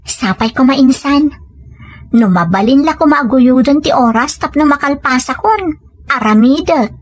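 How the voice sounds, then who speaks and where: A woman acting as a dutiful Filipino daughter still in her studies, in an audio drama. Her voice is notably high, and her manner is modest, polite and grateful. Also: lively intonation, unhurried. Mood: determined. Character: dutiful young female student, grateful daughter.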